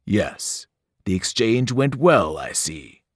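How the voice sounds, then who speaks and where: A man voicing a cold, ancient male monster that speaks from a pit, slow and scornful. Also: on the low side, big pitch swings, no room sound, clean studio sound, full character voice. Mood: menacing. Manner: menacing, scornful, slow and looming.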